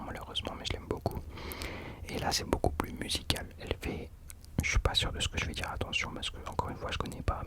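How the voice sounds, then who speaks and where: A man whispering in a breathy, unvoiced voice as an ASMR host, chatting casually about music in a hesitant, self-correcting way.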